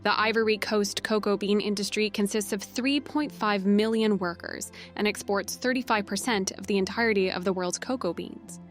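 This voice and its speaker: A woman's voice: medium pitch, normal speed, hardly any pauses, soft background music. A woman giving a scripted, factual read-aloud of a news article. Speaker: reader of quoted journalism.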